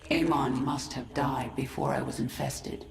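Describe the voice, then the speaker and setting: A woman voicing a proud, menacing alien swarm queen in a video game, measured and commanding. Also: very deep voice, little pitch movement, creaky, full character voice. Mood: proud, intense. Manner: measured, imperious. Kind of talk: video game cinematic acting. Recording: echoing voice over music.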